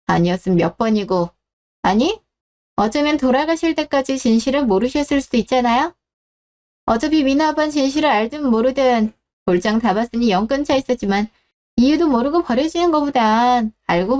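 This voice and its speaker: A woman's voice: sits high, darkish tone. Woman playing a smug, mocking daughter-in-law. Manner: mocking, condescending, polite on the surface. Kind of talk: radio drama acting.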